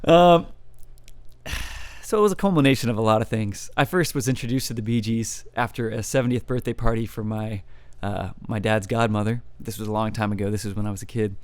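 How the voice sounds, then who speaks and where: A young adult man, a musician guest with a baritone voice, chatting casually in an interview with relaxed, rambling talk and many fillers. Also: brisk, dry recording.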